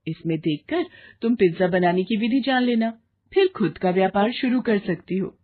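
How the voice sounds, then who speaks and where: A woman's voice: mid-range voice, moderate pace, phone-quality sound, natural voice. A woman playing a kind, protective lady in a cartoon story, motherly and firm. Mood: concerned. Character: kind, protective woman who scolds bullies. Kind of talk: Hindi moral cartoon story acting.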